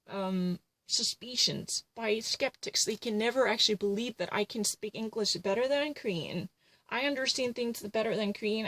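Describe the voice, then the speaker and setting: A woman's voice: on the high side, a bit bright, a little hiss or hum. A woman ranting to camera in an indignant, repetitive way, filling her sentences with "actually" and "you know". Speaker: vlogger.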